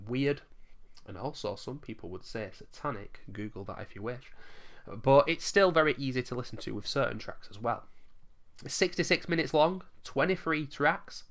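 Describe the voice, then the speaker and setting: A man with a hoarse, fading voice, chatting enthusiastically through a countdown of his favourite albums and swearing casually now and then. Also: medium pitch, fairly bright tone. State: losing his voice, coughing. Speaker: music vlogger ranking albums.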